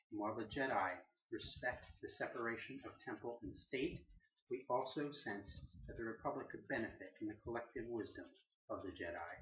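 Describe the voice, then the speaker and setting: Guy doing a calm jedi master giving a formal speech, solemn n measured, echoey room, bit muffled. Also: medium pitch, lightly acted. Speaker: speaker giving a political address in character. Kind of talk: formal scripted address, parody of a political response. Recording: echoey room, slightly dull sound.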